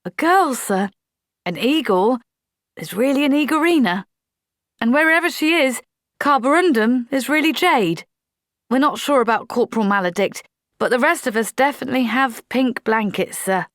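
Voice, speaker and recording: An earnest young woman soldier answers her officer firmly and dutifully as a woman reads her aloud. The voice sits raised and bright. A close, dry storybook reading. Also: high voice, animated, moderate pace, no room sound, pitched above the performer's own voice. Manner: firm, apologetic, dutiful.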